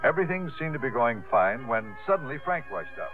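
An actor playing a gentle, patient suitor who narrates his own story in a 1950s radio drama, with a measured, wry manner. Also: medium pitch, sing-song, close to an everyday voice. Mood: reflective narrating. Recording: tinny 1950s radio recording with music.

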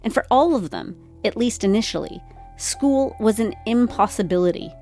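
A woman radio host giving calm, even, scripted documentary narration. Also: mid-range voice, normal speed, faint music behind.

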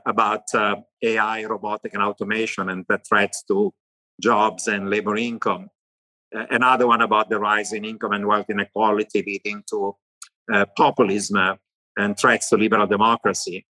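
A middle-aged economist with an Italian accent, answering interview questions in long animated lists. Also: male voice, lowish voice, normal speed, low-quality recording. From Italy. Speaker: economist guest.

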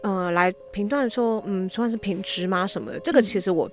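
A woman's voice: mid-range voice, fairly quick, faint music behind. A woman with a Taiwanese Mandarin accent, explaining things casually as an interview guest and thinking aloud as she goes. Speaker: guest, founder of an audio drama app.

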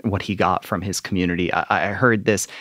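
A male interview host with a fairly low voice and a quick pace. His delivery is informal and appreciative, and the sound is dry and close. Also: steady tone, fairly bright tone, no echo. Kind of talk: conversational interviewing.

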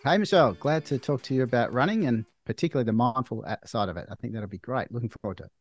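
An Australian man in his forties, a doctor being interviewed, talking in a measured, thoughtful way with frequent restarts. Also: medium pitch, faint music behind. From Melbourne, Australia. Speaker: guest, hospital doctor. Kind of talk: casual interview chat.

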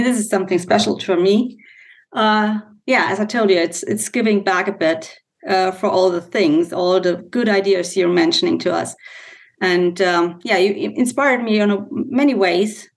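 A woman with a German accent talks casually as a podcast guest. Her voice is mid-pitched, and she speaks hesitantly, searching for words as a native German speaker. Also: normal speed. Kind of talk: casual conversation. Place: video call.

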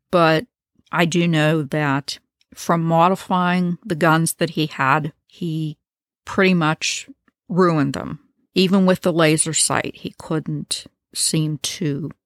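A woman narrates a true crime story in a low, relaxed register. Her delivery is conversational and wry, full of offhand asides. The recording is dry and close, with no room sound. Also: no echo. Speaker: true crime podcast host.

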